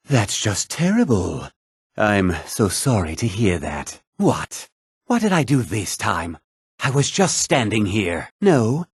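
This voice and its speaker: An actor playing a haughty, put-upon fantasy townsman in a video game, husky, theatrical and often sarcastic. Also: lowish voice, no room sound, studio quality, heavily acted. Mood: wry, put-upon, then formal, deferential. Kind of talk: video game character dialogue.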